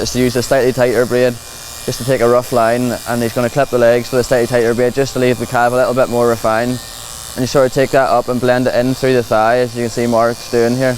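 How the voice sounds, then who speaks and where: A man's voice: medium pitch, low-quality recording. A man with a Northern Irish accent giving a plain, practical, reassuring explanation as he commentates on a hands-on demonstration. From Northern Ireland. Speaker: livestock clipping demonstrator. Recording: clear buzzing clipper and machinery noise.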